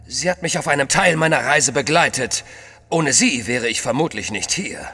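An actor playing a loyal, deferential courier of the Tsar in a German audio drama. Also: male voice, mid-range voice, animated, moderate pace, pushed and bright, husky. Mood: respectful, hesitant. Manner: deferential, earnest. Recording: long hall reverb.

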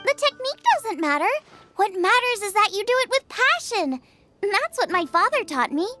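Young girl game character, chef, very high pitch, swooping intonation, bubbly, passionate, eager. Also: very animated, normal speed, sharp tone, faint music behind, heavily acted. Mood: encouraging. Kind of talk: video game character acting.

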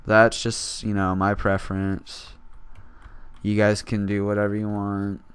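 Teen boy with a freshly woken, raspy voice. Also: fairly low pitch, completely flat delivery, unhurried. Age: teens. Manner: rambling, self-deprecating, frequent fillers. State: raspy, just woke up. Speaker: gaming channel host. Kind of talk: casual gameplay commentary.

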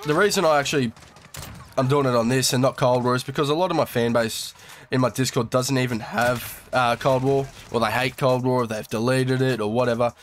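A man's voice: medium pitch, steady tone, brisk, slight background noise. A young Australian man with a slightly nasal voice from a cold, casually commentating over his gameplay in a crude, laid-back, swearing manner. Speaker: gaming YouTuber.

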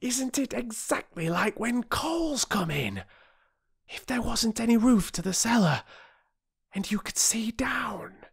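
A man reading a storybook aloud and voicing Peter, an eager, practical young boy who talks quickly when excited. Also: a little high, voice pushed up, close to an everyday voice. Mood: awestruck. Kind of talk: audiobook character voice.

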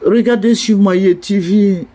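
High-voiced older Ivorian man pleading. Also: fairly even intonation, slow, warm tone. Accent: Ivorian French. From Côte d'Ivoire. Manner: indignant, pleading, repetitive appeals. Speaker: online commentator. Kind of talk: impassioned video address.

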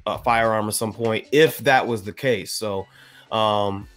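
Low-pitched American man, measured. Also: steady tone, a bit bright, faint music behind. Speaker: podcast panelist. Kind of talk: panel discussion, offering opinion. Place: indoor room on a video call.

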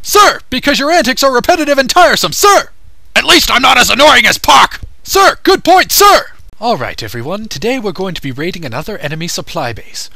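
Anime parody fighter, voiced by a man: sarcastic, exaggerated, very forceful delivery, recorded dry. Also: very high voice, big pitch swings, brisk, creaky, no room sound, heavily acted. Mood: barking, then businesslike. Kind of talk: comedic anime parody dub, several characters.

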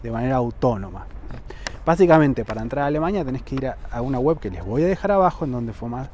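A man's voice: medium pitch, fast, slight background noise. A man in his thirties with a Rioplatense Spanish accent, vlogging outdoors on a lapel mic. He explains travel rules to the camera and keeps checking in with "¿sí?". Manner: checks in with frequent "¿sí?" tags, lively emphasis. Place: outdoors on a lawn by buildings, sunny.

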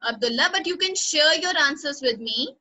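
A woman's voice: high-pitched, expressive, normal speed, pushed and bright. A female teacher, leading an online class, encouraging students by name and repeating instructions. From Pakistan.

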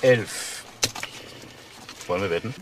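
An actor playing a calculating, sardonic husband in a crime drama, speaking in a cool, dryly taunting manner. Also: male voice, lowish voice, takes it slowly. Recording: noisy archive crime drama audio.

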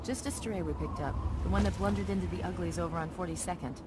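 An actress playing a no-nonsense young woman squad commander in a video game, brusque and authoritative with military briskness. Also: fairly low pitch, heavy background noise, lightly acted. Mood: commanding. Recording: game audio with music underneath.

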